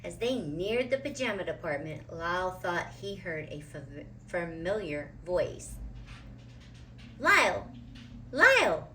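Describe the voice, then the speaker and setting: A woman reading a children's picture book aloud with deliberate, dramatic phrasing. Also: lowish voice, a little hiss or hum. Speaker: children's storybook reader.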